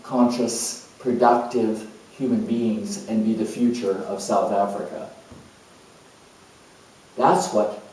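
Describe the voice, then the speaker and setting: A man's voice: lowish voice, warm tone. A man playing an eccentric, scatterbrained, idealistic nonconformist in a rambling, emphatic theatrical monologue. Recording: very echoey room, far from mic.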